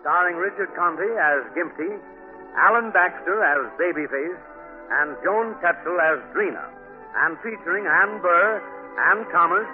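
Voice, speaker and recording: A man announcing a 1940s radio program in a formal, grand and deliberate style. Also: high voice. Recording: tinny 1940s radio recording, music behind. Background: music.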